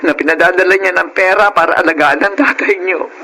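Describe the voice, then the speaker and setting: A woman's voice: on the low side, a little fast, pushed and bright, a little hiss or hum, poor audio. An actress playing a hurt, plaintive middle-aged mother in a Filipino television melodrama. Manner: plaintive, emotional. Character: hurt, self-pitying mother caring for sick husband.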